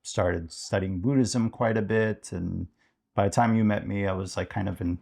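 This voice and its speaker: A man co-hosting a podcast as an interviewed author, talking in a casual, reflective way and haltingly thinking aloud. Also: on the low side, steady tone, creaky, darkish tone.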